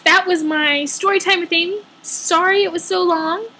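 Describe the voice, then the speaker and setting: A young woman telling a casual personal story in an animated, exaggerated way, acting out her own asides as a storytelling vlogger. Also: extremely high pitch, moderate pace, slight background noise.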